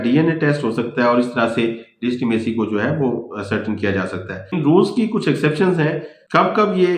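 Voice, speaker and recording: A man with a Pakistani accent delivers a lecture in a slightly high voice. His pace is slow and deliberate as he reads out and explains formal points. The sound is echoey, as in a bare room. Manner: deliberate reading in English, switching to quicker Urdu explanation. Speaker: law lecturer. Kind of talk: lecture, reading out legal points and explaining them.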